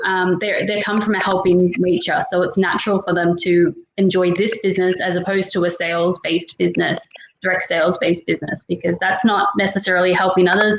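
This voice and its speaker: A woman hosting a casual interview, speaking in an affirming, encouraging way to her guests. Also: fairly low pitch. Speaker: interview host. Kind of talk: casual chat. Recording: echoey room with clear hiss or noise.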